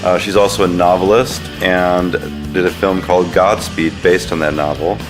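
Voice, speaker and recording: A man's voice: sits low, little pitch movement, moderate pace, echoey room, over music. A man hosting a podcast interview in a conversational style.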